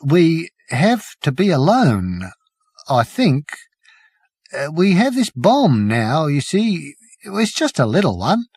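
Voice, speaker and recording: Bewildered, polite young soldier acted by a man, highish voice, hesitant and apologetic, dry audiobook sound. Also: huge pitch swings, slow pace, darkish tone, husky, dry recording, exaggerated voice. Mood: bewildered misery. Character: uncertain, bewildered, mild-mannered young male alien cricketer with gun. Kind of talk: audiobook character voice.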